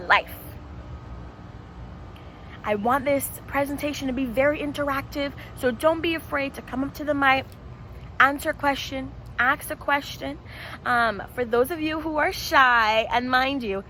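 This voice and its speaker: A woman's voice: high voice, pushed and bright, a little hiss or hum. A woman from the Bahamas, a licensed counselor, giving an encouraging, interactive talk to students and repeating points for emphasis. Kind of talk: presentation to students.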